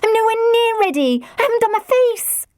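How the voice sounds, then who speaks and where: A woman's voice: very high-pitched, sing-song, moderate pace, darkish tone, dry recording, studio quality, pitched above the performer's own voice. A woman voicing a vain, dramatic teenage girl who squeals and sulks. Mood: panicked. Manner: squealing, sulky.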